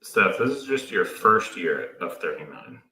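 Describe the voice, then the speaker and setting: A man's voice: low-pitched, big pitch swings, unhurried, bright, forceful tone. A man in his late thirties co-hosting a casual homestead livestream, laid-back with dry, teasing humor. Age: 30s. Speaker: livestream co-host. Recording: roomy echo.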